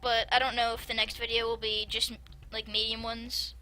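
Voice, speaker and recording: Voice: medium pitch, little pitch movement, normal speed, pushed and bright. A gameplay commentator chatting casually over a video game, rambling and hesitant with many restarts. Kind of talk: casual let's-play chat. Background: game music.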